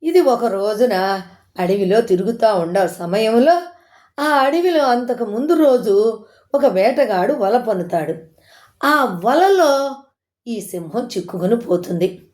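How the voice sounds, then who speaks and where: A woman's voice: fairly high pitch, animated, slow, long pauses, darkish tone. A woman telling a moral story informally, in an explanatory, conversational way that repeats points for listeners.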